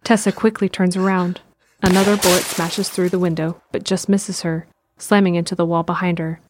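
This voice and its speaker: Low-pitched woman narrating. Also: little pitch movement, moderate pace, background noise. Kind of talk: scripted audio drama narration.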